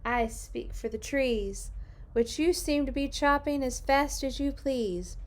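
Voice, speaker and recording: A woman puts on a sharp, high-pitched little old forest creature for a children's storybook. He is bossy and indignant, pushing his words out loudly and emphatically. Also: slow pace. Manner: shouting, emphatic. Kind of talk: children's storybook read-aloud.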